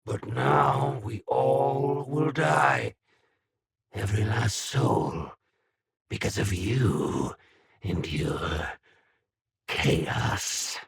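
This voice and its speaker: An actor playing a cold, ominous, accusing man in a video game, with a rough husky voice and portentous delivery. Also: medium pitch, extremely slow, pauses often, exaggerated voice. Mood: bitter, accusing. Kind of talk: dramatic video game character lines.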